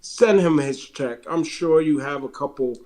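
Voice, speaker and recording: A man's voice: a little high, measured pace, darkish tone. A man with a Puerto Rican accent co-hosting a wrestling podcast, plugging upcoming shows with dates and web addresses in a casual chat broken up by fillers. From Puerto Rico.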